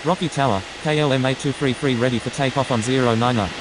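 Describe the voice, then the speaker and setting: Steady noise lies behind a medium-pitched male voice. A man plays a plain-spoken pilot in a flight game, giving procedural readbacks. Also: fast talker, natural voice. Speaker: pilot on air traffic radio. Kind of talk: clipped procedural radio readbacks in a simulator game. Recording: clearly noisy, steady background hum.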